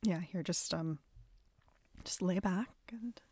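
A woman whispering softly and intimately close to the mic, in a slow, hushed audio roleplay. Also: fairly low pitch, husky.

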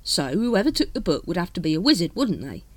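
A woman's voice: on the low side, sing-song, fairly quick, no room sound. A woman voicing a stubborn, dryly evasive male watch captain in an audiobook, with stiff replies. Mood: earnest, reasoning. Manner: stiff, clipped, automatic replies. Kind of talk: audiobook character voice.

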